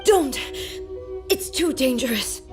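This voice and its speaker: High-voiced, stoic female shogun. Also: expressive, slow pace. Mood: urgent. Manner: formal, restrained in talk, commanding in battle. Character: proud, stoic female shogun ruler, formal and guarded. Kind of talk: video game voice acting. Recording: loud game music behind.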